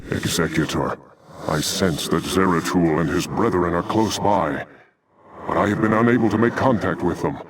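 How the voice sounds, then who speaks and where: Noble alien leader for a game: low, grave, formal and solemn delivery. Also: male voice, normal speed, breathy edge. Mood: grave concern. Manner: formal, solemn, archaic phrasing. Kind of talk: dramatic video game voice acting.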